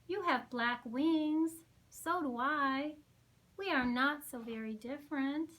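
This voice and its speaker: A woman's voice: high-pitched, slow pace, fairly bright tone. A woman voicing an eager, earnest young penguin girl in a storybook reading. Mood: eager, pleading.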